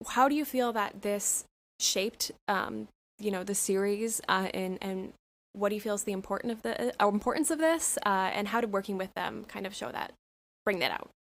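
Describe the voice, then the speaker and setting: A woman co-host with a mid-range voice asks a guest long questions, pausing and circling back as she goes. Her delivery is casual and unhurried. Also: mid-range voice. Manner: rambling, hesitant questions with fillers. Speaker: podcast co-host. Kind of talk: interview questions.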